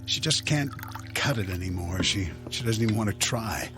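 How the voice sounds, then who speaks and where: A man's voice: on the low side, animated, moderate pace, over music, lightly acted. An actor playing a controlling, uneasy husband in a radio drama, hesitant and stonewalling as he speaks. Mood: uneasy, downcast. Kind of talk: modern radio drama acting.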